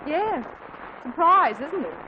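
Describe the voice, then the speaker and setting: A woman's voice: high-pitched, very animated, slow, heavily acted. An actress playing a flustered but dryly humorous middle-aged mother in a 1940s radio comedy, heard through a hissy, dull old radio recording. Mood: wry.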